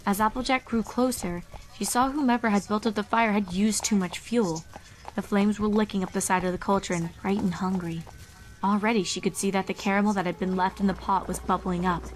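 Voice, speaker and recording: A woman's voice: medium pitch, steady tone, brisk, rough recording. A woman reading a horror fan fiction story aloud as its narrator.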